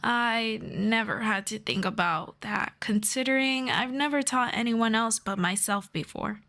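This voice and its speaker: A woman playing a gentle, earnest elf friend in a soft-spoken, measured ASMR fantasy roleplay. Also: fairly high pitch. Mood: earnest, thoughtful. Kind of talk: slow scripted ASMR roleplay.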